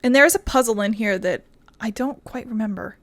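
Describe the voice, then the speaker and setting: A woman's voice: a little high, moderate pace, no room sound. A woman giving casual let's play commentary, chatty and thinking aloud as she plays. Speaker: gaming streamer. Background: game music.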